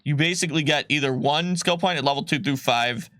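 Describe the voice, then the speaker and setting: Bright-voiced man explaining game rules. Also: a little high, fairly quick, dry recording, studio quality. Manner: relaxed, chatty, with many fillers and laughs. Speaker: game designer presenting a rulebook on a live stream. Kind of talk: casual explanatory stream chat.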